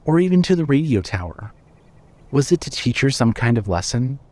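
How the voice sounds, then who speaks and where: A man's voice: medium pitch, sing-song, normal speed, a little mellow. A man reading scripted narration for a horror fiction audio drama.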